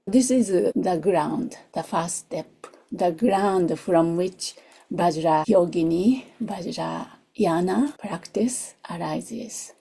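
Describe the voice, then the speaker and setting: A woman who is not a native English speaker leads a guided meditation in a soft, gentle voice, in short unhurried phrases that often drop toward a whisper. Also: on the low side, vocal fry, slightly rough. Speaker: meditation teacher. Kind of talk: guided meditation, slow and soothing.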